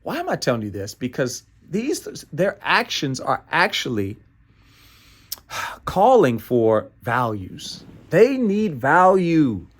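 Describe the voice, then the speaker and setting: A man's voice: on the high side, wildly swooping pitch, takes it slowly. An American man presenting a motivational training talk in an emphatic, playful way, repeating phrases and often checking in with "right?". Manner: playful asides and chuckles.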